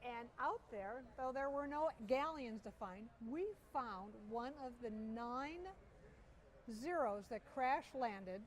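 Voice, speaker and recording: A middle-aged woman telling stories with animated enthusiasm as a guest in an interview, recorded at an outdoor air show. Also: fairly high pitch, takes it slowly, poor audio. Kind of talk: storytelling interview.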